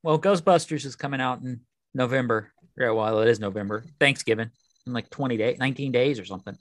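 A man's voice: medium pitch, quick pace, no room sound. A man co-hosting a podcast, talking casually and a little tentatively about films. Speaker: podcast co-host.

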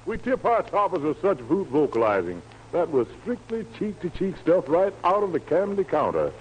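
A man hosts a 1940s radio music show with theatrical showmanship. His high voice swings widely in pitch as he rattles off hepcat slang like a jive emcee. The recording is a noisy vintage broadcast. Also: huge pitch swings, normal speed. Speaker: radio show host, master of ceremonies.

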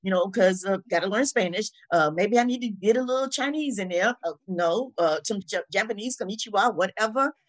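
A woman's voice: mid-range voice, fairly quick, hardly any pauses. A woman being interviewed, talking in a reflective, conversational and motivational way, emphatic, with repetition and rhetorical questions. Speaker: interview guest. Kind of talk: conversational spiritual and motivational talk.

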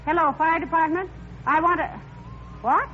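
An actress playing a brisk, wry, teasing wife of a small-town official in a 1940s radio comedy. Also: female voice, extremely high pitch, soft background music. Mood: brisk, obliging. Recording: muffled, noisy vintage radio.

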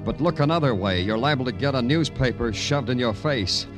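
A man's voice: mid-range voice, animated, fairly quick, lightly acted. An American man acting a terse, world-weary police detective in a hardboiled 1950s radio crime drama. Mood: wry, hardboiled. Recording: old radio drama with music behind.

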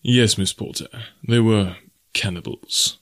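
A male reader plays a young English gentleman in an adventure tale, worn out and quick to feel guilt. He speaks rather low, with formal courtesy and earnestness. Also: dry recording. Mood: bitter.

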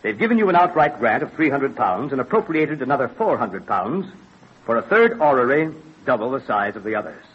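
A dignified colonial scholar in an old radio play. He speaks with formal, urgent emphasis and a highly animated pitch. His voice is very husky, on a dull, aged recording. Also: a little fast. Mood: proclaiming. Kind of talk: old-time radio drama acting.

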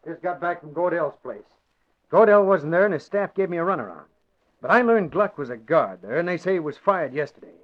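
A man plays a streetwise, wisecracking reporter in a 1940s radio drama, talking breezy slang. His voice sits fairly high. The sound is muffled old radio. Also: animated, fairly quick, natural voice.

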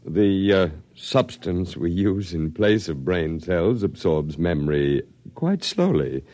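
A low-voiced, courteous scientist with sweeping, theatrical intonation. He is measured and condescending, utterly devoted to his grand project, in an old radio drama with a dry, close sound. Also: lively intonation, a little mellow, dry recording. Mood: smooth, explanatory. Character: smooth, courteous, zealous male scientist running an eternal archive. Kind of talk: classic radio drama acting.

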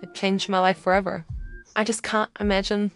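A woman's voice: mid-range voice, little pitch movement, normal speed, soft background music. A middle-aged woman from California, a wine company co-founder, speaking in an earnest, upbeat documentary interview. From Central Coast of California. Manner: earnest, upbeat storytelling with light laughs.

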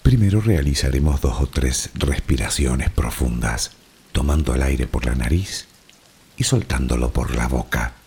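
Very deep, soft Canarian man. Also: expressive, gravelly, breathy edge. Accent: Canarian Spanish. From Canary Islands. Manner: soft, unhurried, soothing delivery. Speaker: sleep meditation narrator. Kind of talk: slow guided relaxation narration.

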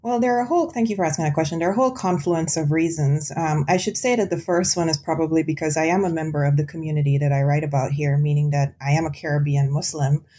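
Low-voiced woman scholar, thoughtful. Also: fast talker, few pauses, mellow. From Guyana. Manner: thoughtful, frequent ums and restarts. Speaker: author and guest. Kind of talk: academic interview conversation.

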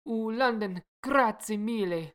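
Woman doing a smooth young Italian man. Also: a little high, measured pace, slight background noise, voice pushed up, close to an everyday voice. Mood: earnest. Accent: put-on Italian.

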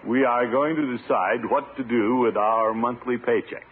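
An actor playing a pompous, easily flustered middle-aged uncle in a 1950s radio sitcom, with blustery, drawn-out exclamations. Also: male voice, mid-range voice, close to an everyday voice. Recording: tinny, noisy old radio recording.

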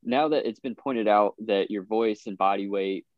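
A man co-hosting a casual podcast, teasing in a deadpan way. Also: fairly low pitch, normal speed, no echo, lo-fi sound. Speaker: podcast co-host.

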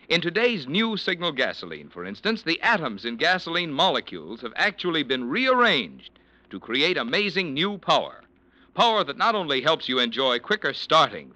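A man's voice: a little high, bright, forceful tone, dry recording. A man announcing a vintage radio commercial with a polished, emphatic sales delivery.

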